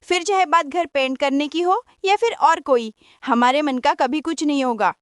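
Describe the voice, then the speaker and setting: A woman's voice: sits high, normal speed, no echo, studio quality, close to an everyday voice. A woman playing a resigned, aggrieved elder daughter-in-law and mother in a Hindi family audio story.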